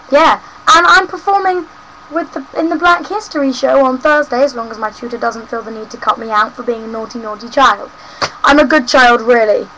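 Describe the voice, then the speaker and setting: A young woman with a London accent talks straight to camera at home, chatty and cheeky, drifting from rant to rant. Her voice sits high and sounds bright and crisp. A faint hiss runs under the recording. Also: poor audio. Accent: London English. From South West London, England. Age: young adult. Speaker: vlogger. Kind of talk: casual rambling vlog. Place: home room.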